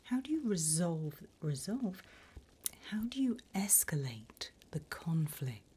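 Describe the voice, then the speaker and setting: Demon interviewer, played by a woman: female, low slow breathy whisper, polite, sly, mock-formal, ASMR roleplay. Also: big pitch swings, mellow, full character voice. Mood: sly, businesslike.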